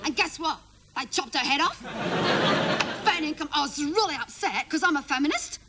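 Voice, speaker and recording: A woman's voice: high voice, animated, normal speed, a loud crowd, very noisy. A woman playing a naive, chatty, indignant schoolgirl in a comedy monologue, running on breathlessly and mimicking her family's words. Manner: breathless run-on gossip with mimicked family quotes.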